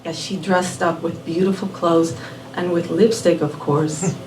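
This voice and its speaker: A woman raised in Miami telling a personal story live at a small venue, unhurried and measured, dropping in Spanish words. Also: on the low side, fairly even intonation, darkish tone, slight background noise. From born in Puerto Rico, raised in Miami. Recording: roomy venue audio from a distant mic.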